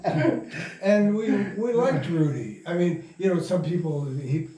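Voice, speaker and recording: An older man telling anecdotes in an interview, halting and often interrupting himself in informal, salty language. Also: a little high, expressive, crowd noise. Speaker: interviewee, radio drama producer. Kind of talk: rambling anecdotal storytelling in an interview. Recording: echoey, distant mic, faint noise.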